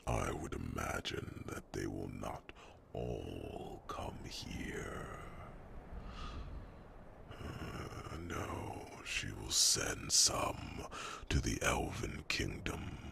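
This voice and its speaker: A man voicing a huge, wise old dragon in a calm ASMR fantasy roleplay, speaking solemnly in a gravelly, breathy half-whisper with pondering hums. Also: extremely low pitch, heavily acted. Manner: slow, solemn, formal phrasing with pondering hums.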